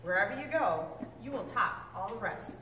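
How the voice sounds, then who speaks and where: A woman reading a picture book aloud with lively, expressive delivery, now and then stumbling and correcting herself. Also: moderate pace, a little hiss or hum. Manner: expressive storybook reading with big pitch swings. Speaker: reader. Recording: echoey room, mic at a distance.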